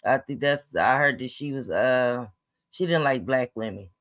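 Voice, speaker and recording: Raspy-voiced woman caller, blunt. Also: rumbling low, normal speed. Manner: blunt, opinionated slang-heavy talk. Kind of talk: casual phone-in chat.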